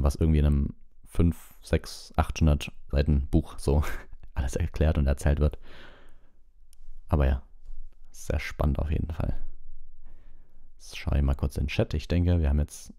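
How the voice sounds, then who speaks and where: Very deep, dark-voiced man. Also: vocal fry. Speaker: audiobook reader, livestream host. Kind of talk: narration and casual livestream chat.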